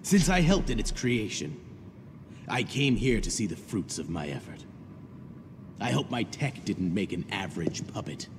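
Gravelly, imperious aristocrat villain. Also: male voice, fairly low pitch, very animated, normal speed, noisy background. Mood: contemptuous. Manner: haughty, contemptuous. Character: imperious, violent aristocratic male villain sneering at commoners. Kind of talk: video game cutscene voice acting.

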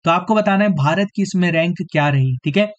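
A man with a fairly high voice leads an online quiz class for exam students. He is a bit hoarse and talks straight to his viewers, checking in with them after nearly every point. The sound is dull and lo-fi, like a streamed lesson. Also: fast. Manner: repeats "theek hai" as a tag, addresses students directly. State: slightly hoarse, clearing throat. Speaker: exam coaching teacher.

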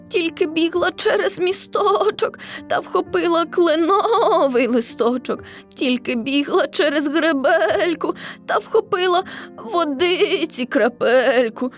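A sly nanny goat from a children's storybook, played by a woman, bleats out rhymes in a very high, singsong whine. The goat is plaintive and boastful, drawing the words out slowly. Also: heavily acted. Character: sly whining female goat, feigning hunger, boastful and menacing.

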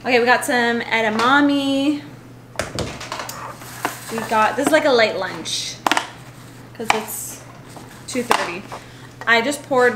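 Bubbly chatty young mom vlogging at home. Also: female voice, on the high side, noisy background. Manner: bubbly, chatty, laughs often. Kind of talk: casual vlog chat. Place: large bright home kitchen and bedroom. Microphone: camera held at arm's length.

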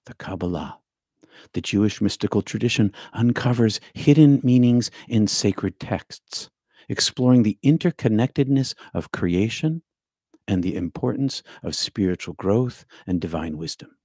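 A man's voice: fairly low pitch, lively intonation, a little slow, a little mellow, slightly rough, no room sound. An older man giving a scripted spiritual talk from a home study, reading from notes and dropping to a near whisper for emphasis, close on a studio mic. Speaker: spiritual teacher. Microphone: studio mic on stand with headphones.

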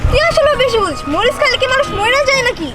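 Fast, very high Bangladeshi girl, acted by a woman. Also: lively intonation. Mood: uneasy. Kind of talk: Bengali comedy short drama. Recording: outdoor film audio, loud music, crowd noise.

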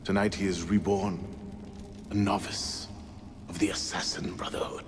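Man as solemn assassin master, low voice, ceremonial oratory, game cutscene, reverberant hall with faint noise. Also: level tone, close to an everyday voice. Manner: slow, grave, oratorical. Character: solemn male Assassin master leading an initiation rite. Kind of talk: ceremonial video game acting.

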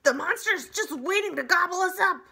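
A woman voicing a small, frightened woodland animal with a squeaky, shrieking voice in a children's story. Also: expressive, moderate pace, vocal fry, bright, forceful tone, full character voice. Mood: panicked. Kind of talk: children's book read-aloud.

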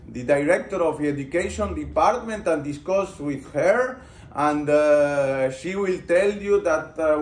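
High-voiced male lecturer in an echoey room. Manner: poses questions to the class and builds on answers. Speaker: university lecturer. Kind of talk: interactive classroom lecture. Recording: roomy lecture-room echo.

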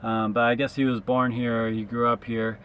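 A man's voice: medium pitch, level tone, moderate pace, a bit bright, a little hiss or hum. A man in his thirties, a traveling street musician, thinking aloud in a loose, reflective vlog monologue from inside his car to a dashboard camera. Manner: reflective, musing, loose with fillers.

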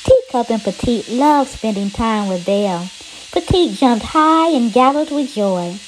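A woman reading a picture storybook aloud to young children, with theatrical, drawn-out emphasis. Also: a little high, lively intonation, a little hiss or hum, rough recording.

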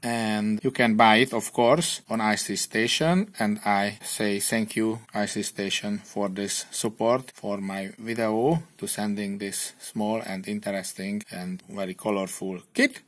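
A man with a Hungarian accent, a non-native English speaker, narrating a hobby electronics kit tutorial in plain, slightly halting English. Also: lowish voice, fairly even intonation.

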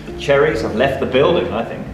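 A man's voice: mid-range voice, a little fast, noisy background. A young man hosting a casual coffee tasting in a small cafe, enthusiastic and thinking aloud, recorded by a far camera mic. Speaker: coffee reviewer and show host. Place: dim cafe interior, in a small room. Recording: roomy, distant, with music behind. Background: background music.

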